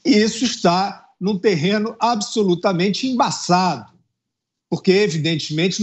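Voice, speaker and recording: A middle-aged man with a rather high voice delivers heated political commentary from home over a video call. He sounds insistent and indignant, stumbling through restarts and tag questions as he presses his points. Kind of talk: opinion commentary on a news show. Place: indoor home room. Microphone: earphones with mic on a video call.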